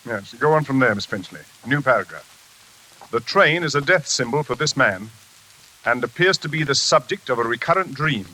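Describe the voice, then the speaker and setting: A man playing a composed, authoritative psychiatrist in a formal, measured 1940s radio style. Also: mid-range voice, animated, pushed and bright, rough recording. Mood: clinical. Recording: faintly hissy old radio recording.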